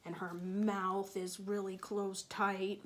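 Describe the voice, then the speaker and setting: A woman teacher reading a picture book to young children, explaining patiently and pausing to ask them questions. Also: mid-range voice, little pitch movement. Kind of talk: children's read-aloud with questions to listeners.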